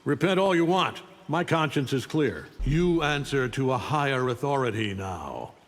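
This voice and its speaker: Guy playing scornful domineering supervillain conqueror, game dialogue, mid pitch, big echoey reverb. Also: animated, normal speed, natural voice. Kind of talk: video game character dialogue. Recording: long hall reverb.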